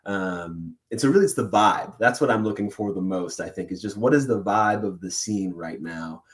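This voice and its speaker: A man who works as a voice actor, telling stories in a casual interview with animated, excitable energy and frequent laughter. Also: on the low side, normal speed. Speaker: voice actor guest.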